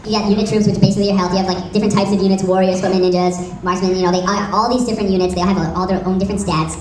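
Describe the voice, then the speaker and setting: A woman's voice: mid-range voice, steady tone, rapid-fire. A young woman co-presenting a fan panel in a casual, enthusiastic way, with some swearing. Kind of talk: informal fan panel talk. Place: convention panel room. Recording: echoey room, distant mic.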